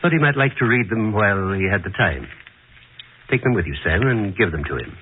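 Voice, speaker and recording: Male, actor as dutiful warden, low pitch, animated intonation, avuncular, practical, 1940s radio play, narrow-band recording. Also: a little fast, a little hiss or hum, lightly acted. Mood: resigned sympathy. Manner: avuncular, matter-of-fact. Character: kindly, dutiful, businesslike male prison warden. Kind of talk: 1940s radio drama acting.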